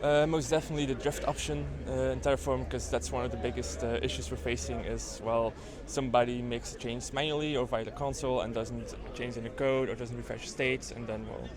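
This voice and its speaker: A man's voice: medium pitch, steady tone, brisk, hardly any pauses. A man from Belgium, answering interview questions in a friendly way with frequent ums. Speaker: interviewee, hosting company worker. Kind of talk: conference interview. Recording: busy crowd noise behind.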